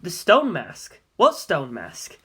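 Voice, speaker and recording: A woman voicing a guarded, uneasy young male pilot with halting, startled questions in an audiobook. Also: on the low side, wildly swooping pitch, fairly bright tone, slightly rough, voice pushed down, exaggerated voice. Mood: startled, feigning ignorance.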